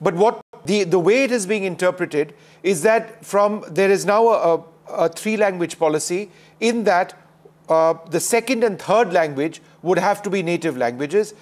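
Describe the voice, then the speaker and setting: A male TV anchor with a fairly high voice runs a panel debate. He interjects briskly, steering the guests and handing the floor around. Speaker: TV news anchor.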